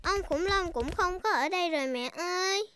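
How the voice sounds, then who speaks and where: A woman's voice: extremely high pitch, animated, moderate pace, sharp tone, a little hiss or hum, full character voice. A woman voicing a cheerful, bossy little girl pig in a children's cartoon, eager and childlike. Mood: confident.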